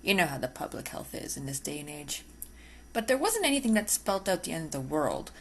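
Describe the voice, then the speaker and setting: Woman acting as obsessive vampire lady, swooping pitch. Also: sing-song, fairly quick, exaggerated voice. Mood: coy, persuasive. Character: obsessive, possessive female vampire lab technician, outwardly polite. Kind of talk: ASMR roleplay acting. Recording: faint background noise.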